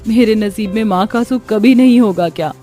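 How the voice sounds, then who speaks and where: A woman's voice: medium pitch, moderate pace, dark tone, soft background music, natural voice. A woman voicing a sad, mistreated young orphaned niece with a plaintive manner in a cartoon. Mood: sorrowful.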